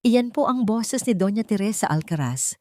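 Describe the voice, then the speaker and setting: A woman's voice: medium pitch, expressive, moderate pace, dark tone, no echo, clean studio sound, pitched above the performer's own voice, lightly acted. Woman voicing a determined, accusing Filipina court advocate. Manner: formal, emphatic, accusing.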